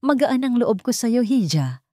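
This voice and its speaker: A woman's voice: fairly high pitch, very animated, measured pace, mellow, no echo, studio quality, pitched above the performer's own voice. A Filipino-accented woman voicing a gracious, affectionate mother in her sixties in a romance story. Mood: warm, welcoming. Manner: gracious, tender, welcoming. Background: background music.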